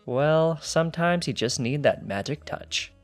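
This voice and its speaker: A man's voice: a little high, expressive, unhurried, faint music behind, voice pushed up. A man voicing a cocky, smug pony thief, boastful and teasing, in a fan fiction story reading. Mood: matter-of-fact. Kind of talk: fan fiction character dialogue.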